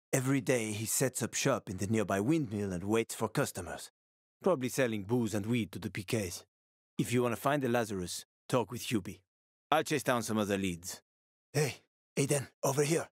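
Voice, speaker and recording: A man's voice: medium pitch, dry recording, studio quality, lightly acted. A man playing a streetwise, wisecracking middle-aged survivor and smuggler in a video game, talking in a sly, casual, teasing way. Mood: conspiratorial, matter-of-fact. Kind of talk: video game voice acting, conversational.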